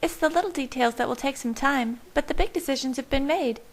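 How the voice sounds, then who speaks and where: Bubbly, bossy young vampire girl for a storybook, acted by a woman; very high voice, excitable and emphatic. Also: brisk, close to an everyday voice. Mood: chirpy. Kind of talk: audiobook character voice.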